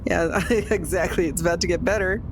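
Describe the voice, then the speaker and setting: A woman's voice: fairly low pitch, fairly quick, noisy background. A woman playing a tabletop game on a podcast, chatting casually as she decides her moves.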